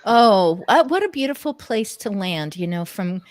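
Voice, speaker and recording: A woman in her sixties from Oregon, hosting a relaxed panel chat and asking her guests curious questions. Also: medium pitch, moderate pace, dry recording. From Oregon, United States. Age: 60s. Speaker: podcast host.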